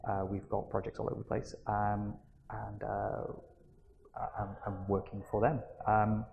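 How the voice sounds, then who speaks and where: Young guy rambling along in an interview, bit gravelly, muffled lo-fi audio. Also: lowish voice, completely flat delivery, unhurried, a little hiss or hum. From Manchester, England. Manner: chatty, rambling, with frequent fillers and restarts. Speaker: interview guest. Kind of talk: casual conversational interview.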